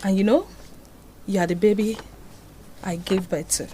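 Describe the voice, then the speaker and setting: A woman's voice: mid-range voice, fairly even intonation, moderate pace, dark tone, slight background noise, close to an everyday voice. A woman playing an anguished, devout Nigerian mother confessing a painful past in an audio drama. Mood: solemn, pained.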